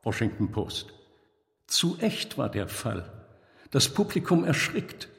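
A man reading a scripted literary story with deliberate, dramatic phrasing and pauses. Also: mid-range voice, very animated, creaky, a bit bright. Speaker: narrator. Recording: long hall reverb.

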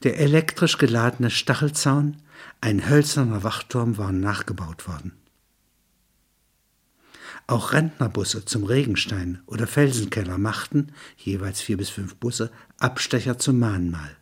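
A man narrates literary prose in a low, heavily husky voice. The delivery is measured and sober, like a read audio piece, with a rough edge to the tone.

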